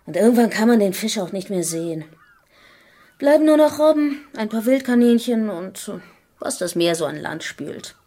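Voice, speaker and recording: An actress playing a hard-bitten island survivor in a radio drama, talking in a blunt, offhand, sardonic way. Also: female voice, mid-range voice, very animated, normal speed, warm tone. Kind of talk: German radio drama acting.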